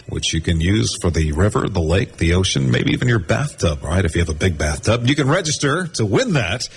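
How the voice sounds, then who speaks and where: Animated male oldies radio DJ. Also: medium pitch, slight background noise. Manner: chatty, playful asides. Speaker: radio DJ hosting an oldies show. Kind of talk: upbeat live radio DJ patter between songs. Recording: radio broadcast with loud music behind. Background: loud oldies music.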